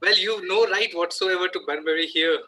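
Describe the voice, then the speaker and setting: A man acting an earnest, flustered Victorian gentleman in an amateur table read, formal and slightly halting, with a husky, forceful voice. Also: high voice, rough recording. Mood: exasperated.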